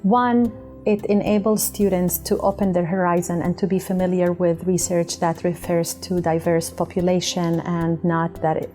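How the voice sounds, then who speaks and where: A middle-aged woman professor explaining her academic work in an earnest, measured way. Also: on the low side, warm tone. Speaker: university professor and researcher.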